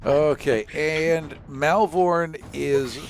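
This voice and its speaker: A man acting as game master, narrating the action in a casual, halting, conversational way. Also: fairly high pitch, slight background noise.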